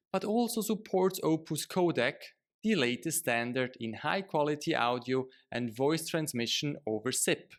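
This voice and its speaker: Animated male explainer narrator, slightly high. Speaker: product video narrator. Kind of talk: scripted promotional explainer narration.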